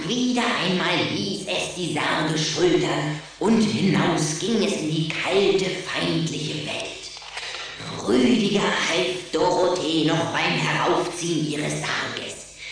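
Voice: mid-range voice, gravelly, a bit bright. An actor playing a sly, boastful young boy vampire in a children's audio drama, pompous, bossy and teasing. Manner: pompous, grandly declaiming, teasing. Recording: very echoey, distant, faint noise.

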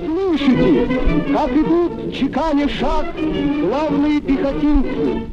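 A man gives grand, drawn-out 1940s wartime newsreel commentary on an archival recording. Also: very high voice, fairly even intonation. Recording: dull archival recording under loud music.

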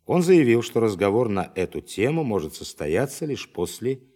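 An actor playing a forceful science official who addresses a meeting formally and emphatically in a radio play. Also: male voice, lowish voice, big pitch swings, moderate pace. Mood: earnest, emphatic.

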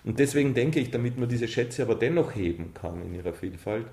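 A man's voice: medium pitch, lively intonation, fairly quick, creaky, mellow. A man, talking reflectively and emphatically as an interviewed author. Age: 50s. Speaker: author and director being interviewed. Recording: roomy, reverberant interview sound.

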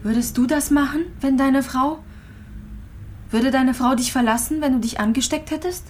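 A woman's voice: high voice, normal speed, a little mellow, a little hiss or hum, natural voice. An actress playing a lonely, fragile female patient in a radio play, confiding hesitantly and intimately. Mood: hurt.

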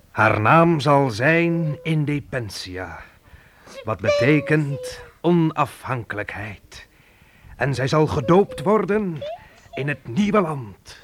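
An actor playing a stern pioneer father who gives his family firm orders in a radio play. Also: mid-range voice. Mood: solemn prayer. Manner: commanding, issues orders. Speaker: father in a covered-wagon family. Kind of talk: Dutch radio play acting. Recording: noisy old radio play recording.

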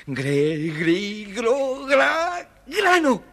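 A man's voice: very high voice, huge pitch swings, extremely slow, fairly bright tone, full character voice. An actor playing a clever, plucky boy hero, eager and theatrical, in a children's storybook recording. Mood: incantatory.

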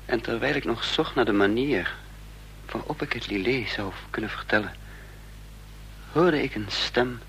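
An actor playing a tense young man in hiding, speaking and narrating haltingly in a Dutch radio drama. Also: mid-range voice, fairly bright tone. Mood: brooding, dramatic. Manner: halting, deliberate. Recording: noisy, humming old radio recording.

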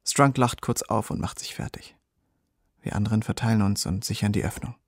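Reflective soldier telling his war story, husky, dry close sound, radio play. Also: fairly low pitch, steady tone, a little fast, no room sound. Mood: quiet, watchful. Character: reflective American soldier recounting the Vietnam War. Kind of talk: scripted first-person radio drama narration.

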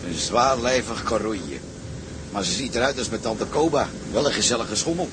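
An actor playing a cheeky, salty sailor in a radio drama. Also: male voice, fairly low pitch, animated, moderate pace, noisy background, close to an everyday voice. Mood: cheeky, mocking.

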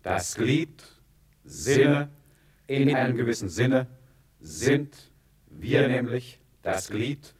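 An actor playing an officious, long-winded careers adviser in a satirical radio play, speechifying in halting, repetitive phrases. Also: male voice, medium pitch, animated, husky, exaggerated voice. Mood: pompous.

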